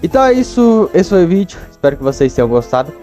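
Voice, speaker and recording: A man's voice: fairly high pitch, very animated, quick pace, dark tone, soft background music. A young man with a Brazilian accent giving casual, slangy, chatty commentary as a video host.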